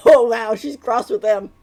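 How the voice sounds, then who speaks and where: A woman's voice: a little high, unhurried, warm tone, soft background music. A woman giving casual, informal live commentary as a game streamer, in a chatty manner.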